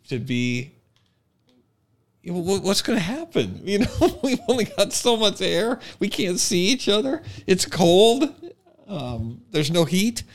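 A man co-hosting a podcast in casual chat, often halting as he searches for the right words. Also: a little high. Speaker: podcast co-host.

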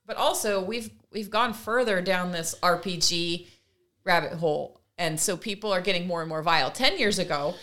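A woman's voice: lowish voice, moderate pace, a bit bright. A woman co-hosting a talk podcast, explaining her points conversationally and voicing imagined speech as examples. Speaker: podcast co-host. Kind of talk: conversational commentary.

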